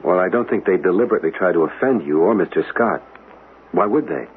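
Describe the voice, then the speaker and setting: A man's voice: low-pitched, animated, quick pace, breathy edge, exaggerated voice. An actor playing a polite, inquisitive young man in a radio mystery, courteous and questioning. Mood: earnest, probing. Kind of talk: radio mystery drama acting. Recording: muffled old radio broadcast, faint noise.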